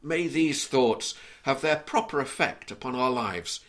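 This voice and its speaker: A man from Liverpool with a mild Scouse accent, preaching an earnest, measured sermon and reading scripture aloud. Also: medium pitch, lively intonation, fairly bright tone. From Liverpool, England.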